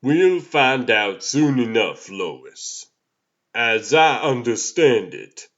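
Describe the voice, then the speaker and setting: A smug, domineering executive, acted by a man, speaks slowly and condescendingly. The comic dub recording is slightly dull. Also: on the low side. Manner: condescending, measured. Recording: slightly dull, muffled sound.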